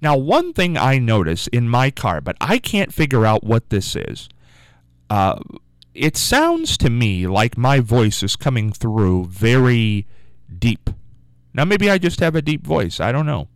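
Man, radio call-in host, casual musing talk, clear resonant voice, dry studio mic. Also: medium pitch, huge pitch swings, a little slow, dry recording. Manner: rambling, musing, asks listeners questions. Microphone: studio microphone.

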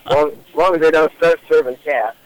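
A man's voice: on the high side, fairly even intonation, moderate pace, clean tone, poor audio. Casual man calling a radio show. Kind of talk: casual chat.

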